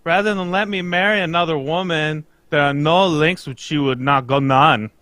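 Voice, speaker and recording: A man's voice: on the high side, normal speed, sharp tone, no echo. A man playing an anxious, pompous foreign king in a radio mystery, earnest, in stilted English that drops its articles. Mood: anxious, formal. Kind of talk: old-time radio mystery acting, read live.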